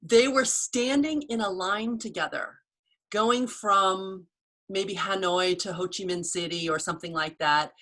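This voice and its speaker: A woman's voice: medium pitch, unhurried. A woman from the United States hosting a casual video call chat, telling stories with frequent laughter. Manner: chatty storyteller, warm laughs. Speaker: host.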